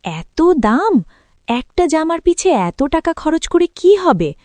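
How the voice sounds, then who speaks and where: A woman's voice: sits high, big pitch swings, takes it slowly, a little mellow, no echo, full character voice. A woman playing a careworn, practical mother of a poor family in a cartoon, worried and scolding. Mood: disapproving. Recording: background music under the voice.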